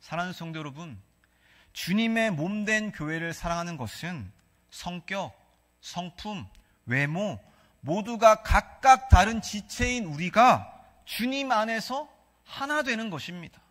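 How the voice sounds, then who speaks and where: A man with a Gyeongsang accent preaches from the pulpit, his high voice bright and forceful. He puts questions to the congregation and leads them in reading scripture together. His words ring out through a church hall with a long, lingering reverb. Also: lively intonation, unhurried. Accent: Gyeongsang Korean. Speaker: pastor. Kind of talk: sermon. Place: church sanctuary.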